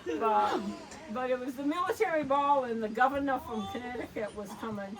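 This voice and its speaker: A woman's voice: sits high, recorded from a distance, noisy background. Older woman with a Maine accent. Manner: rambling, halting storyteller who laughs often. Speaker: interviewee. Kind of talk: casual family interview. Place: front porch outdoors.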